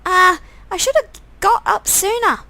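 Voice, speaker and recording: A woman plays an evasive teenage stepsister, eighteen and always in a hurry. Her voice is raised high, suited to a visual novel character. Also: a little slow, bright, forceful tone, heavily acted. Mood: regretful. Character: hurried, evasive eighteen-year-old stepsister.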